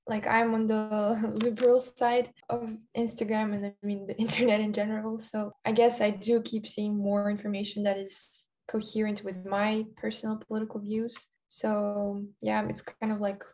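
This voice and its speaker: A young woman from Kazakhstan whose first language is Kazakh, answering interview questions casually and often pausing on "like" while searching for words. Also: mid-range voice, monotone, warm tone. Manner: chatty, many "like" fillers, searches for words. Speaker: interview guest.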